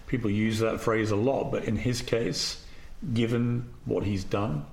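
A man's voice: lowish voice, steady tone, unhurried, vocal fry. A man hosting an interview, asking probing questions in a conversational way. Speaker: interview host. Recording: roomy echo.

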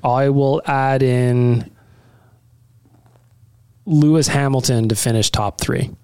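A man's voice: mid-range voice, steady tone, takes it slowly, dark tone, clear, resonant tone, no echo. A man, co-hosting a casual sports betting podcast, loose and profane, laughing often. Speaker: podcast co-host.

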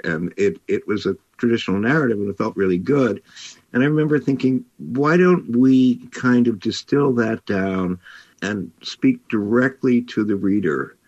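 A man speaking as an editor hosting a book talk, in a measured, articulate and slightly hesitant conversational way. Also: lowish voice, no echo, lo-fi sound.

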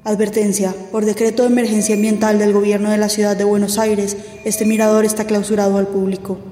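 A woman's voice: medium pitch, level tone, a little fast, dark tone, soft background music, lightly acted. A woman playing a stern official police warning announcer in an audio drama, heard in long reverb. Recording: echoey public-address sound, long reverb.

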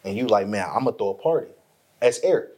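A man's voice: on the low side, expressive, fast talker, a little mellow. Stuttering man in casual podcast chat. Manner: laughing, restarting and repeating himself; says he stutters. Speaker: podcast co-host.

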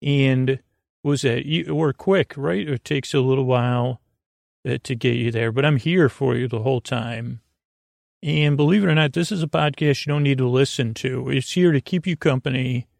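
Creaky, meandering man hosting a bedtime podcast. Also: darkish tone, dry recording, studio quality. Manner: meandering, halting, trailing off mid-thought; soothing, low-key. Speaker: podcast host. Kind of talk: rambling, casual bedtime monologue.

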